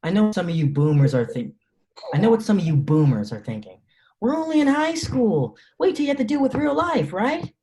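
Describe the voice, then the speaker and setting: A man's voice: sits high, sing-song, a little fast, warm tone. A man reading a teenage boy who speaks wryly to the camera in a script table reading. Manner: conversational, wry, musing. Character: teenage boy, loyal friend, talks to the camera. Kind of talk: table-read script acting, direct-to-camera asides.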